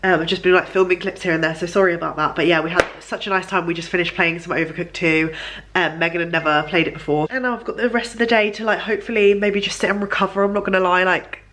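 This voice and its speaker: A woman's voice: lowish voice, fairly even intonation, fast talker, fairly bright tone, slight background noise. A woman, chatting casually in a vlog while ill, her voice congested and nasal. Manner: chatty, gushing, lots of fillers. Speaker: vlogger.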